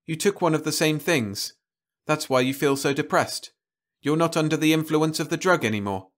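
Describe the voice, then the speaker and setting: A man doing a calm woman astronaut. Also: on the high side, moderate pace, voice pushed up, lightly acted. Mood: calm, firm. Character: calm, earnest woman astronaut stranded with husband. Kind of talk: storybook reading.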